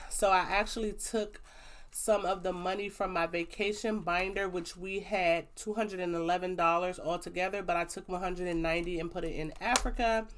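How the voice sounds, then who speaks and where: A young woman with a loud, lively voice talks through her monthly savings numbers into a plugged-in mic. She sounds upbeat and chatty, tossing in slangy asides between figures. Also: lowish voice. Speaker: budgeting vlogger. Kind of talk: casual budgeting update. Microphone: plug-in microphone.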